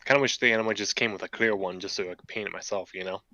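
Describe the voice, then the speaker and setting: Low, bright-voiced man, casual builder chat. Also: rapid-fire, no room sound. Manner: relaxed, joking, thinks aloud with fillers. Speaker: model kit builder chatting on stream.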